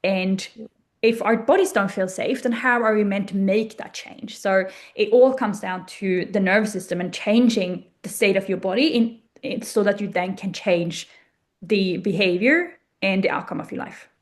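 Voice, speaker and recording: A woman coach running a live online workshop over a video call, gushing and encouraging as she pitches her program. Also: moderate pace.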